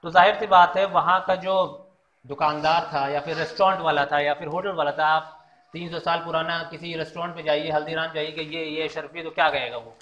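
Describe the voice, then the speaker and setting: A man giving an informal religious talk in a high, bright voice, explaining each point and coming back to the lesson again and again. The recording sounds dull and lo-fi, with a roomy echo around him. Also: steady tone, a little fast. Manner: explanatory, repeats key lessons, asks rhetorical questions. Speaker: Islamic preacher. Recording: echoey, dull lo-fi room sound.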